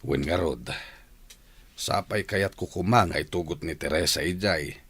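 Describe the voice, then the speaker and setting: Male actor as middle-aged father, very low voice, caring, forgiving, audio drama. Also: unhurried, a bit bright, breathy edge, exaggerated voice. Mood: resigned. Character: caring family father, an uncle, worried but forgiving. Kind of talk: Filipino audio drama acting.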